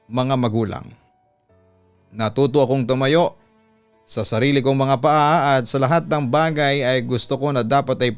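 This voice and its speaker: A man with a Filipino accent reading a listener's letter as a radio-style storyteller, smooth and resonant, in a measured, deliberate way. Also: medium pitch, dry recording. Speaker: radio announcer and story channel host. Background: background music.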